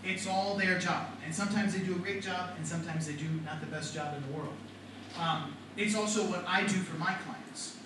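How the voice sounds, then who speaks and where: A middle-aged man with a fairly high voice lectures a class, animated and quizzing his listeners. He sounds far from the microphone in a very echoey classroom. Noise hangs under the speech. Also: normal speed. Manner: animated, talks to the class with questions. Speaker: writing and rhetoric teacher. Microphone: far from the mic.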